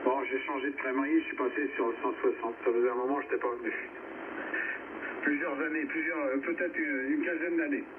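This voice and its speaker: A man's voice: mid-range voice, steady tone, fast. A man from France talking casually on air as an amateur radio operator. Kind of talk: casual ham radio conversation. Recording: tinny narrow shortwave radio, clear static noise.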